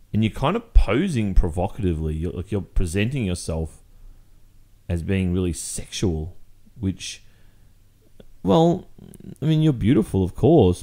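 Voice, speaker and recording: A man with an Australian accent playing a jealous, fed-up young boyfriend confronting his girlfriend in an intimate ASMR roleplay, speaking in a halting, conversational way. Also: fairly low pitch, dark tone. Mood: uneasy, reproachful.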